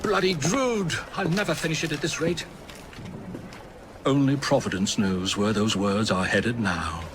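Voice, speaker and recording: A man's voice: medium pitch, unhurried, close to an everyday voice. A man playing a flustered, genial Victorian English novelist in a video game, florid and exclamatory. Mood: flustered, irritated. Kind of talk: video game cutscene acting. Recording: clear background noise.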